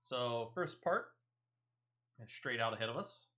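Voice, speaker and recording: A man's voice: mid-range voice, animated, unhurried, bright, forceful tone. A man hosting a gaming video with casual, offhand gameplay commentary.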